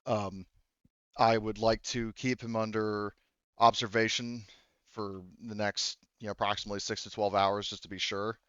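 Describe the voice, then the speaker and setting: A man with a low, smooth voice runs a tabletop roleplaying game. He narrates haltingly as game master, and the audio is dry and slightly muffled. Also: little pitch movement, a bit bright, no room sound. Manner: halting, speaks for characters in own voice.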